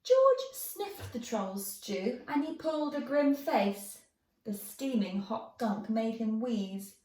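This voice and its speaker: A woman reads a rhyming children's story as a storyteller. Her fairly high voice rises and falls freely, recorded in an echoey room. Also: very animated, slow pace, a little mellow. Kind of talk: animated children's story reading in rhyme. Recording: roomy, echoey, distant mic.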